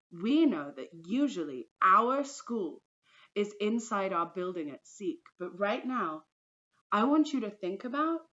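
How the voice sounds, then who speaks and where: A woman teacher reading a storybook aloud to young pupils in a deliberate storytelling manner, addressing them directly. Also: mid-range voice, a bit bright, highs cut off. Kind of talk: children's storybook read-aloud.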